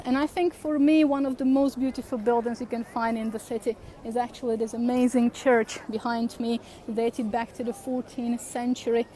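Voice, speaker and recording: A woman from Slovakia in her thirties, speaking English, leads viewers on a live outdoor walking tour. She talks in a friendly, unhurried way and keeps pausing on fillers and restarts. Her voice sits a little high, with faint street noise from the city around her. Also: dark tone, rough recording. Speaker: tour guide.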